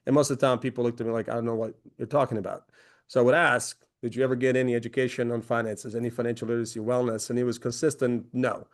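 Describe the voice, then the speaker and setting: A man's voice: mid-range voice, flat delivery, fast talker. Chatty man in his forties from Croatia. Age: 40s. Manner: conversational, many fillers and restarts. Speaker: company founder presenting. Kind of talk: webinar presentation.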